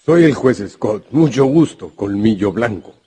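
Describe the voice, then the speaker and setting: Radio drama patriarch: older judge, low and mellow, unbothered, dismissive. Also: male voice, expressive, measured pace, slightly rough. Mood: genial.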